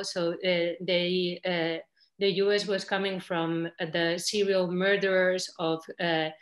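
A woman's voice: fairly low pitch, a bit bright, roomy sound. A young woman speaking as a panelist in an academic discussion over a video call from a room at home, hesitant, with frequent "uh" and restarts.

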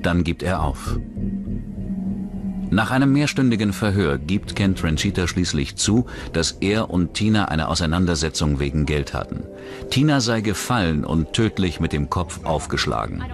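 A man's voice: low-pitched, sing-song, normal speed. A man narrating a scripted true-crime documentary. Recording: music under the voice. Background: background music.

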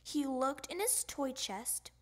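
A woman's voice: high voice, expressive, slow. A woman reading a children's picture book aloud as narrator, expressively, with playful sound words. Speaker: storybook narrator.

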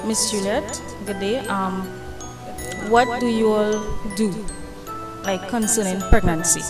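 A woman's voice: medium pitch, takes it slowly. A woman, interviewing politely and formally for an ad. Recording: loud chiming music bed.

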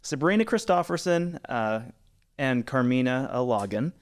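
A man's voice: mid-range voice, animated, moderate pace, clean tone. A man in his forties hosting a podcast, upbeat and chatty with many fillers, speaking into a studio mic in a small studio. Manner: upbeat, with frequent "uh" fillers and restarts. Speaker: podcast host. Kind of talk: casual podcast interview. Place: small studio with brick wall, indoors. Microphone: studio mic on boom arm.

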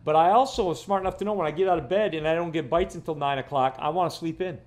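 Fifties fishing guide guy rambling thru a lake report at home, slightly high voice, close to the cam. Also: fast. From Florida. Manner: rambling and chatty, repeats words, animated. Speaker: fishing guide and vlog host. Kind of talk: casual fishing report vlog. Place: living room at home with TV on. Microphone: camera close up, seated.

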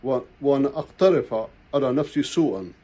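Older man, Friday sermon, husky voice, dark mellow tone, slow measured recitation, lapel mic. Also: medium pitch, lively intonation. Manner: slow, measured recitation of formal Arabic prayers. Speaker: preacher. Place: small indoor room. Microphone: clip-on lapel mic.

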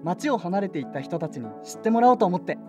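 Woman playing an earnest, expressive teenage boy. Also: fairly low pitch, fast, natural voice. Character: earnest, polite teenage boy leaving his hometown. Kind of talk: Japanese audio drama acting. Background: background music.

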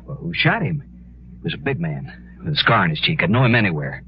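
Creepy, self-important little busybody, lowish voice, insistent and prying, 1950s radio western, dull old recording. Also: male voice, big pitch swings, fairly quick, a little hiss or hum. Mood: eager, urgent. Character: creepy, nagging, self-important little man, eager witness.

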